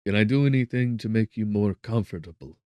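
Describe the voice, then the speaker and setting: A man's voice: lowish voice, fairly quick, a little mellow, clear, resonant tone, no room sound, voice pushed up, close to an everyday voice. A man voicing a sympathetic male magistrate in a gothic audiobook, speaking formally and with solicitude. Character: kindly, sympathetic male magistrate, courteous and compassionate.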